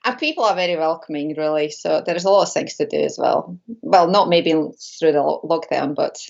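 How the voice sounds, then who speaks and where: A Latvian woman with a Latvian accent, a guest medium answering interview questions in a hesitant, friendly way with many pauses. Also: deep voice, creaky, darkish tone. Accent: Latvian, with a slight Scottish touch. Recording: dull lo-fi online call.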